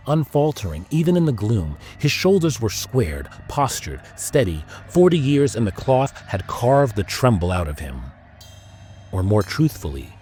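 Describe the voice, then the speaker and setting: A man reading scripted first-person horror as an audiobook narrator, ominous and deliberate in his phrasing. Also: medium pitch, warm tone. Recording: music under the voice.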